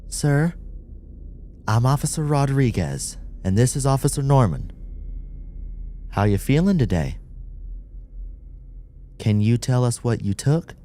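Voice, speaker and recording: A man's voice: medium pitch, animated, unhurried, pauses often, warm tone, pitched above the performer's own voice, lightly acted. A man voicing a polite rookie female police officer in an audiobook, asking questions courteously and carefully. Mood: polite, firm.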